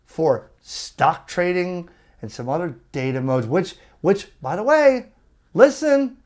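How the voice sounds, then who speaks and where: A man's voice: sits high, a little mellow. A man giving an opinionated, exasperated video rant to his viewers in a blunt, emphatic way. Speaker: ham radio YouTuber.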